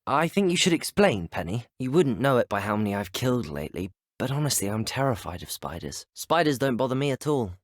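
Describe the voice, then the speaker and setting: A man's voice: mid-range voice, sing-song, a little fast, few pauses, no echo, studio quality, lightly acted. A young man with a British accent playing a polite, earnest, inquisitive teenage student wizard in a video game, courteous and well-spoken. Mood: curious, frank. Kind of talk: scripted video game dialogue voice lines.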